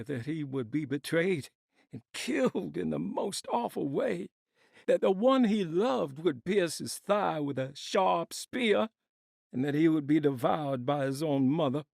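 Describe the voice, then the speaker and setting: Casting: tormented, melancholy man, game monologue, creaky higher-set voice, anguished and theatrical. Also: dry recording, clean studio sound. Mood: troubled, indignant. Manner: theatrical, anguished, poetic delivery. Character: haunted, tormented, melancholy man reciting his written laments.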